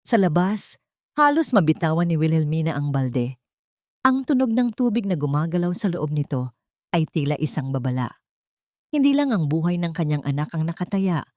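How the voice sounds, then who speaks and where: A woman's voice: low voice, darkish tone, no echo, clean studio sound. A woman narrating a scripted drama story in a dramatic audiobook style.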